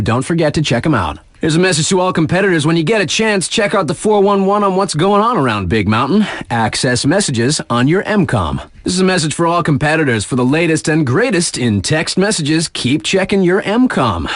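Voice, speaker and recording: A man's voice: fairly high pitch, dry recording, studio quality. A man playing an upbeat, laid-back young radio DJ in a snowboarding video game, with casual, hyped, slangy patter.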